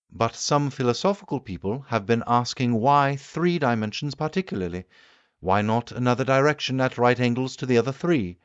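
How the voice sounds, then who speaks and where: Man acting an eager, high-pitched Victorian gentleman inventor. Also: no room sound, lo-fi sound, natural voice. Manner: emphatic, didactic, declamatory. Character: Victorian gentleman inventor, eager, assured, lecturing his guests. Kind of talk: audiobook character acting.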